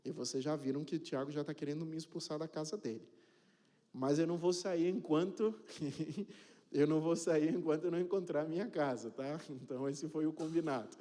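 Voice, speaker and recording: A pastor in his thirties from Cape Verde, talking to his congregation in a chatty, joking way and often checking in with them. His voice sits a little high and has a mellow, warm tone. It rings through a reverberant church hall. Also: brisk. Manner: chatty and joking, tags sentences with "né?" and "tá bom?". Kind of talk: informal self-introduction to a congregation. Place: church sanctuary.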